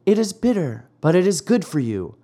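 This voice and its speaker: A narrator voicing a gentle, motherly fairy in a children's storybook, loving, patient and coaxing but firm. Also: medium pitch, big pitch swings, normal speed, husky, pitched above the performer's own voice.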